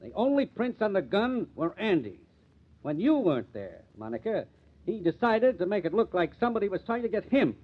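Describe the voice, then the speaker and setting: An actor playing a sardonic, commanding police detective in a 1950s radio mystery. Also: male voice, high voice, big pitch swings, a little fast. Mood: sardonic, accusing. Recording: muffled, tinny old radio recording.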